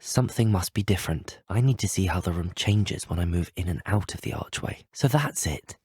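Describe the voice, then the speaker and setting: A young British student wizard, the hero of a video game. He speaks politely and with curiosity in a low, slightly husky voice. His delivery is measured and well-spoken, recorded very dry and close. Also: darkish tone, no room sound. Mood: curious, musing. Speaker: video game player character. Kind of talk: scripted game dialogue.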